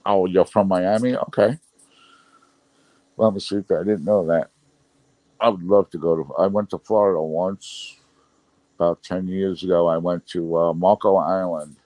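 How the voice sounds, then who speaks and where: A man's voice: deep voice, fairly even intonation, normal speed, long pauses, mellow, dry recording. A middle-aged man with a Brooklyn New York accent, chatting casually on a livestream, reading the chat and rambling with frequent laughs. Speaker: livestream host.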